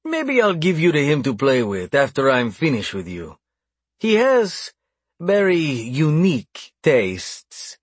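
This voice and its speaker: A sadistic crime boss as played by a man narrating an audiobook toys with his victims. He speaks with slow, mocking calm and open menace. The voice is slightly husky and closely recorded, with little room sound. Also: medium pitch, no echo. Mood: mocking delight. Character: sadistic, taunting crime boss, chillingly calm older brother. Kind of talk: audiobook dark thriller dialogue.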